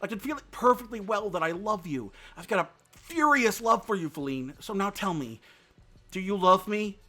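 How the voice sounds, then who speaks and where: A man's voice: medium pitch, expressive, normal speed, a bit bright. A man reading aloud and voicing a young male deer who is earnest, lovestruck and insistent. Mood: pleading, excited. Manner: pleading, breathless, emphatic.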